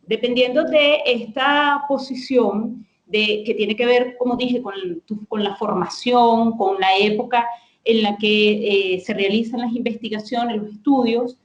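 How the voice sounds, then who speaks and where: A woman's voice: a little high, steady tone, unhurried, roomy sound, highs cut off. A woman speaking as a guest lexicographer, explaining her ideas thoughtfully, with frequent hesitations and restarts. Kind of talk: explanatory conversation.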